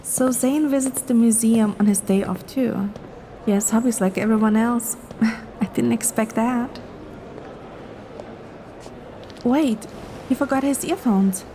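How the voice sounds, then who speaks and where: A woman voicing a curious, polite, slightly flustered young woman in a romance story, expressive and a little hesitant. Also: fairly high pitch, unhurried, a little hiss or hum. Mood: wistful, surprised. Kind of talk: scripted romance story dialogue. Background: clear background music.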